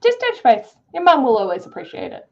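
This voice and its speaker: Woman, lively swooping intonation, emphatic, casual stream co-host, slightly muffled audio. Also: high-pitched, brisk, gravelly. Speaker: co-host of a live reading sprint stream. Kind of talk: casual livestream chat.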